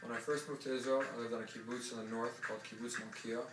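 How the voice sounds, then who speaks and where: A man in his twenties from New York, a serving soldier, speaking in a steady, deliberate way with some ums. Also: medium pitch, echoey room, distant mic, over loud music, low-quality recording. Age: 20s. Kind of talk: earnest interview testimony.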